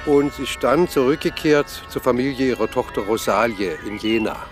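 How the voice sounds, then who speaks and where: A man's voice: mid-range voice, moderate pace, lightly acted. Actor as mild bookish man, music behind. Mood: informative. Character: bookish male book dealer, mild, informative. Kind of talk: naturalistic radio drama dialogue.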